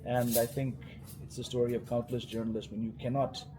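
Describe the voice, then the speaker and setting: A man's voice: medium pitch, little pitch movement, fairly quick, background noise. Indian-accented man, chatty and wry. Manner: chatty, wry, many restarts and fillers. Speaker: cricket journalist, guest. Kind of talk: casual interview chat.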